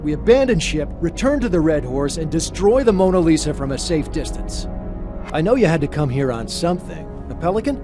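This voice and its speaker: A man's voice: fairly high pitch, sing-song, brisk. A man playing an arrogant, cold military intelligence officer who issues clipped orders in a motion comic drama. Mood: imperious. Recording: dramatic music behind.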